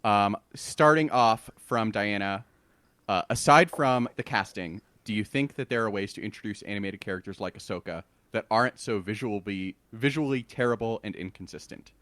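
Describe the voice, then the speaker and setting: A man co-hosting a podcast in casual chat, animated and quick to laugh. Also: lowish voice, dry recording. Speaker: podcast co-host.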